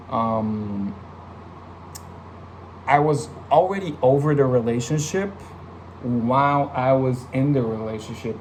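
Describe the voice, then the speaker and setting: A man's voice: medium pitch, darkish tone, slight background noise. A young man giving a casual, reflective personal update from his home studio, with many fillers and restarts. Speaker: vlogger and musician.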